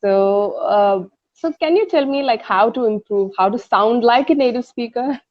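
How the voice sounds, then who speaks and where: A woman in her thirties with an Indian English accent, an English teacher chatting casually on an online tutoring call, laughing often and filling pauses. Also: on the high side, no room sound. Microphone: video call. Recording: dry, muffled video call audio.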